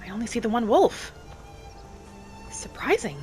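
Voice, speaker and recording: A woman voicing a gentle young wood elf alchemist in a fantasy game roleplay, soft-spoken and musing to herself without hurry. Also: a little high, big pitch swings, measured pace, soft background music. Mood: calm, musing. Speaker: player of a solo fantasy roleplay video series. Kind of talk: in-character game narration.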